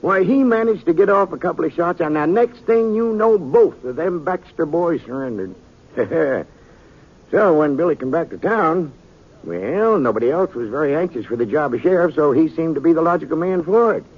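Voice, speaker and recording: Folksy, high-voiced Western old-timer. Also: male voice, expressive, normal speed. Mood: chatty, admiring. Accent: American. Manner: rambling, chatty, folksy. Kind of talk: 1950s radio Western acting. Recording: muffled 1950s radio recording.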